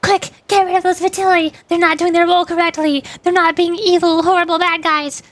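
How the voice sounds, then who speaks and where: High, sarcastic young woman streamer. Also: fairly quick. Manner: sarcastic, excitable, repeating herself. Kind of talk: playful game commentary.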